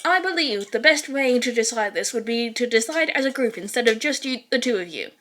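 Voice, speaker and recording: A woman plays an earnest teenage boy in a story reading, the class president. He speaks formally and at length, stiff and officious, in a fairly high voice. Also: lively intonation, a little fast, fairly bright tone. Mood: formal, insistent.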